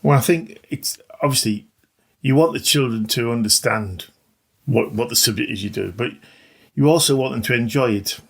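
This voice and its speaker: A man, a veteran teacher reflecting informally in a halting, modest way. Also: medium pitch, creaky, husky. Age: 60s. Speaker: veteran maths teacher, podcast guest. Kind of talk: reflective, informal interview talk.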